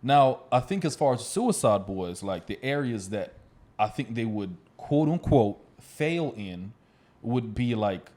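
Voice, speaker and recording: A man's voice: medium pitch, expressive, unhurried, darkish tone. An American man co-hosting a casual music discussion, explaining his views and often checking that he is being followed. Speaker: podcast co-host.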